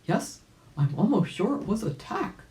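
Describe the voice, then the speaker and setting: Storybook old rabbit gentleman, acted by a woman: fussy, exclamatory, very animated delivery, roomy sound. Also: mid-range voice, normal speed, pitched above the performer's own voice, lightly acted. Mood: puzzled. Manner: old-fashioned, exclamatory speech. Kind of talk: children's storybook character voice. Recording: roomy, echoing home recording.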